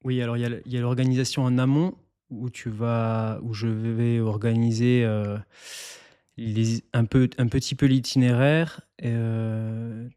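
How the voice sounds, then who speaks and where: A man from eastern France with a mid-pitched, mellow voice answers interview questions as a mountain guide. He explains things calmly and steadily, pausing now and then to find his words. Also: clean tone. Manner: calm and explanatory, with some hesitations. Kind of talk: interview guest.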